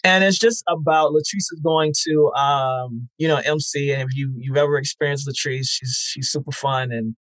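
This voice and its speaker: A man speaking as an interview guest in a reflective, conversational way, earnest and halting, repeating words as he thinks. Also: on the high side, fairly even intonation, a bit bright, dry recording. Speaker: interview guest, festival founder.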